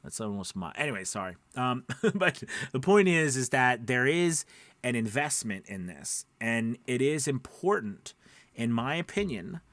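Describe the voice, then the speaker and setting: A man's voice: medium pitch, big pitch swings, unhurried, a bit bright, dry recording. A man giving an informal instructional talk as a coach, emphatic, repeating his points and often checking in with his listeners. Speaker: voice-over coach and platform owner.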